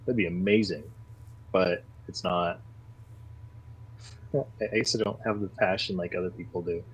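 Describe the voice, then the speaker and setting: A man talking casually as a podcast guest, earnest and getting animated when he defends his views. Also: sits low, moderate pace, husky, muffled recording. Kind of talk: casual conversation.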